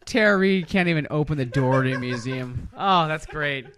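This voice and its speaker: A man's voice: on the high side, moderate pace, a crowd in the background. A man hosting a podcast, chatting casually about movies in a bantering, profane way. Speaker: podcast host.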